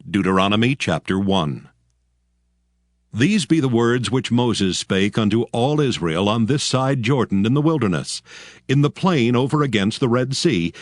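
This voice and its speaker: A man narrating scripture from a script in a measured, formal manner. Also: mid-range voice, dry recording, studio quality.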